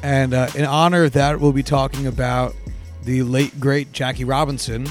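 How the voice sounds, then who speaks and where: A man co-hosting a casual podcast, hoarse-voiced, telling stories animatedly and swearing freely. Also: mid-range voice, little pitch movement, moderate pace, soft background music. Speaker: podcast co-host. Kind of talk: casual chat.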